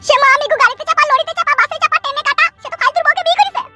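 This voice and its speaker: A performer voicing an aggrieved, sharp-tongued village mother in a comic cartoon, shrill and complaining, with a rural West Bengal accent. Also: steady tone, rapid-fire, faint music behind, heavily acted. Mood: self-pitying.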